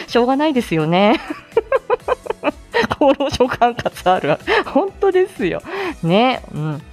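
Lively, animated woman hosting a casual livestream. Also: on the high side, slow, long pauses, faint music behind. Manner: laughs often while talking. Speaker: host of a live audio show, speech therapist. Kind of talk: casual chatty solo livestream talk.

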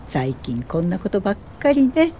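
A woman's voice: mid-range voice, wildly swooping pitch, normal speed, mellow, slight background noise. A woman playing a determined, compassionate pioneer social worker, earnest and polite, in a radio drama. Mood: weary, wry.

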